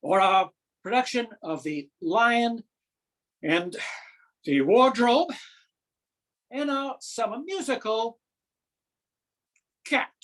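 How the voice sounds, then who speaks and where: A woman performing a comic stage monologue as a self-important, earnest male drama society director, formal, with deliberate pauses. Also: fairly high pitch, rough recording.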